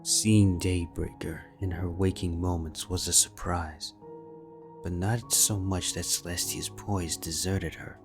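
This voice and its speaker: A man narrating a fan-fiction audiobook in a measured, steady storytelling manner. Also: low voice, over music.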